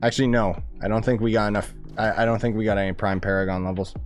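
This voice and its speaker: A man's voice: lowish voice, steady tone, fast, faint music behind. Casual male game streamer, voice wearing out. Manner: informal, rambling, gamer slang. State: losing voice, coughing. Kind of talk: casual live stream gaming commentary.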